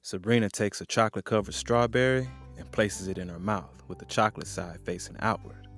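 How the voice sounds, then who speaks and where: Soft guitar music plays behind a man with a fairly low voice. He narrates an audio drama from a script. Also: a little fast.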